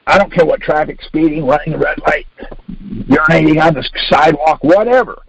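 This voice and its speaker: A man's voice: on the high side, fairly quick. An older American man leading a conference call, giving an informal, insistent instructional talk full of rhetorical questions and acted-out exchanges. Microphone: phone conference line. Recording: dull lo-fi conference call audio.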